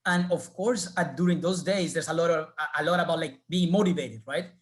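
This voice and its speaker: A man's voice: a little high, a little fast. A man from Colombia with a Colombian Spanish accent, speaking earnestly as an alumnus on a webinar panel.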